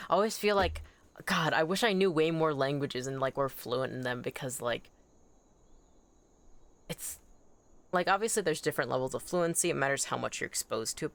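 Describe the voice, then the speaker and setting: Clear-voiced, excitable game streamer, native Anishinaabemowin speaker. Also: mid-range voice. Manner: animated, excitable, rambling with self-corrections. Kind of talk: casual livestream chat while gaming.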